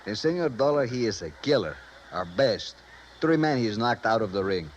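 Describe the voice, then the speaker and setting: A man acting a puffed-up, excitable Mexican police sergeant from a 1950s radio play. He speaks emphatic broken English with a Mexican accent and boasts freely. The old recording is dull and heavily hissy. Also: on the low side, moderate pace, natural voice. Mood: boastful. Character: excitable, self-important short stocky Mexican police sergeant.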